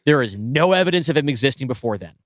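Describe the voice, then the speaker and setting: A man co-hosting a podcast, brainstorming casually and thinking aloud in halting, self-correcting bursts. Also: medium pitch, animated, dry recording. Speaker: podcast co-host and writer.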